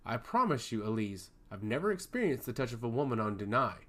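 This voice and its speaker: Guy doing a charming chaos god for an audiobook, calm and sure of himself, pitch swings around. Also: fairly quick. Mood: earnest, charmed. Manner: confident, calm, theatrical.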